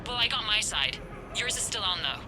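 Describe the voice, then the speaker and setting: An actress playing a practical, nervy infiltration partner in a video game, speaking with urgency. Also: female voice, mid-range voice, very animated, moderate pace, noisy background, lightly acted. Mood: tense. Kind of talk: cinematic video game dialogue.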